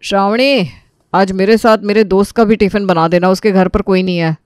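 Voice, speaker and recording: A man's voice: high voice, steady tone, normal speed, smooth, studio quality, lightly acted. Guy doing a kind middle-aged sasur, caring n soothing, cartoon story voice, no room echo. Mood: worried. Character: kindly, concerned middle-aged father-in-law Prabhakar. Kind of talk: Hindi animated moral story acting.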